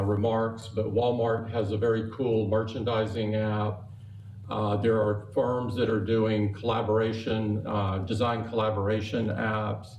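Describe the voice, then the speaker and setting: Measured older man, corporate results. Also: on the low side, a little mellow, a little hiss or hum, low-quality recording. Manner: measured, upbeat corporate delivery with some hesitations. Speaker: company chief executive on an earnings call. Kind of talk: scripted earnings remarks, then unscripted answers.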